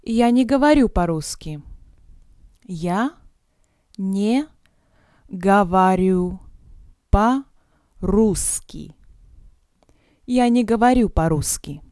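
Woman, language teacher, vocabulary drill, very slow, careful enunciation, dry close sound. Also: mid-range voice, animated, mellow, dry recording. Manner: careful enunciation, repeats each phrase then splits it word by word.

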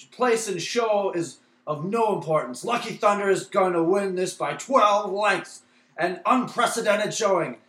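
A man playing an excited, grandiose horse-race announcer in a radio play. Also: high-pitched. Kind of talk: old-time sports commentary in a radio play. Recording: roomy, echoing recording.

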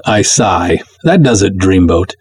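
A man's voice: low-pitched, very animated, a little slow, a little mellow, breathy edge, dry recording, voice pushed down, full character voice. A man reading a first-person serial story as a chatty, wry woman confiding in a friend.

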